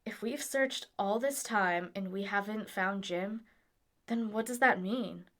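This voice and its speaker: A woman's voice: medium pitch, measured pace, heavy background noise, natural voice. A woman acting in an audio drama as a blunt, pragmatic young traveler, frank and informal, exasperated but caring. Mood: firm, reasoning.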